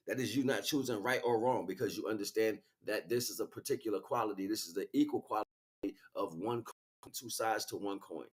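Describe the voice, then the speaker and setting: A man improvising a spiritual lecture as a teacher, speaking emphatically, repeating key words and checking in with rhetorical questions. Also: fairly low pitch. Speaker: spiritual teacher and livestream host.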